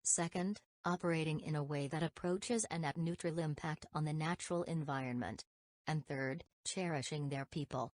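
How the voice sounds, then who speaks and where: Woman, notably low voice, scripted read-aloud for exam practice, dry recording. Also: moderate pace, no room sound. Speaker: narrator reading exam practice passages.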